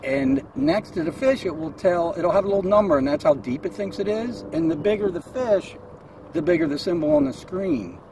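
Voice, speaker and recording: A man's voice: high voice, lively intonation, normal speed, warm tone, a little hiss or hum, low-quality recording. A middle-aged man with an American accent gives a casual, plain-spoken, folksy product review while out in a kayak. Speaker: kayak angler reviewing gear. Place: mostly outdoors in a kayak on open water.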